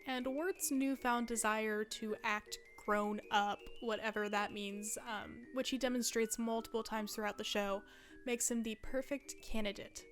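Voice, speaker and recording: A woman's voice: fairly high pitch, fairly even intonation, a little slow, fairly bright tone, faint music behind. A woman in her twenties narrating a video essay in a casual, conversational way with wry asides.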